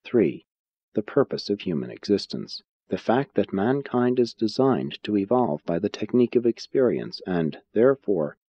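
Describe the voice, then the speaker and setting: Guy narrating a serious audiobook, husky voice, really varied intonation, measured delivery, kinda muffled lo-fi sound. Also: lowish voice, big pitch swings. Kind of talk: scripted audiobook narration of philosophical religious text.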